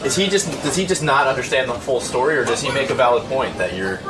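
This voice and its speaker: A man's voice: medium pitch, fast talker, background noise. A man working as an interviewer, asking probing questions in a casual conversational style.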